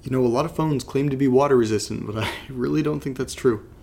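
An actor playing a troubled young man recording a halting, confessional audio diary for a horror drama, his voice slightly congested. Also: medium pitch, vocal fry, darkish tone. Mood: uneasy, wry.

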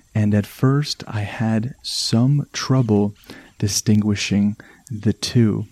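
Slow, soft-spoken Canadian man. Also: on the low side, dry recording. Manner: calm, soothing, unhurried. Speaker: podcast host. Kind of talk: slow relaxation podcast narration.

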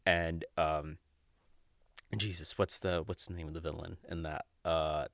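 A young adult man hosting a podcast solo, chatting casually and off the cuff in a giggly, rambling, sweary way with halting false starts. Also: extremely low pitch, level tone, gravelly, fairly bright tone, dry recording. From Mexico or of Mexican family. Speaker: podcast host. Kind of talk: casual unscripted podcast monologue.

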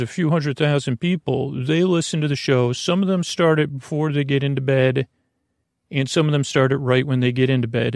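A man hosting a sleep podcast, rambling in a halting, meandering way with a creaky, gravelly voice and drifting into whimsical tangents. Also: mid-range voice, a little mellow, dry recording, clean studio sound. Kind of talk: rambling bedtime monologue.

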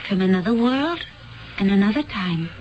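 An actress playing a serene, enigmatic woman from a far-future world in a 1970s radio drama, speaking in a cryptic, solemn, measured way. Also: mid-range voice, expressive, natural voice. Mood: serene, earnest. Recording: muffled, noisy 1970s radio broadcast recording.

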